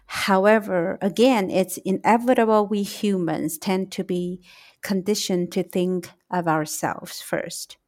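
A middle-aged woman with a Taiwanese accent hosting a solo reflective podcast, speaking in a deliberate, emphatic way. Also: medium pitch, fairly even intonation, a little mellow. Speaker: podcast host, English teacher.

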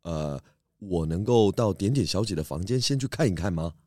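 A man playing a calm, methodical detective. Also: sits low, dry recording. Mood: probing. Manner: measured, polite and reassuring. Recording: dry close voice over faint music.

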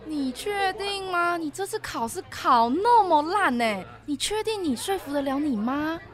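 Woman as a very high-pitched teasing classmate. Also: expressive, a little slow. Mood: teasing, doubting. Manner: blunt, teasing. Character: teasing, doubting young school classmate. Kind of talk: amateur audio drama acting. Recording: crowd chatter behind the voice.

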